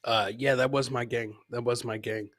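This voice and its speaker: A male podcast host and comedian with a medium-pitched voice, recorded very dry. He talks in a loose, informal live-show style, reacting to clips and often saying a line twice. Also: steady tone, normal speed, a bit bright, dry recording. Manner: informal, repeats his own lines. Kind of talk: casual live reaction chat to video clips.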